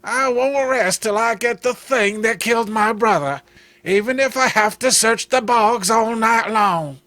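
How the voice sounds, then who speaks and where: An anguished backwoods man from a horror comic, acted out by a male reader. The voice sits high and bright, with folksy rural phrasing. He sounds pleading and overwrought, a simple man in grief. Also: measured pace, no echo. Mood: vengeful. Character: anguished backwoods swamp man grieving his brother.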